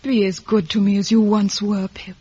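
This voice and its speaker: An actress playing a once-proud lady, now humbled, speaking in a halting, breathy, pleading way in a 1950s radio melodrama. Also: medium pitch, level tone, warm tone, close to an everyday voice. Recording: muffled vintage 1950s radio recording.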